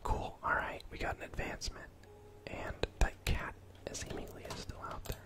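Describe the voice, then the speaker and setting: A young man whispering casual, gently chatty ASMR game commentary in a soft, breathy voice. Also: normal speed. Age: young adult. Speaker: gaming ASMR video host. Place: indoor room at home.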